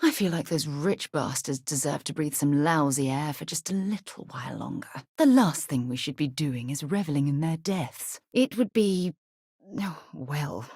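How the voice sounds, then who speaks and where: A woman playing a principled, earnest space explorer and leader in a video game, a husky voice and articulate, formal delivery. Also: deep voice, darkish tone, no echo, studio quality. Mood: indignant. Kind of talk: scripted video game companion dialogue.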